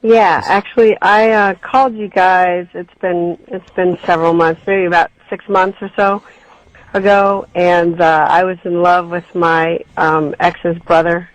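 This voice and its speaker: A woman in her twenties calling a radio show, telling her story haltingly. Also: lowish voice, little pitch movement, no echo, low-quality recording. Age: 20s. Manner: hesitant, halting. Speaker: caller.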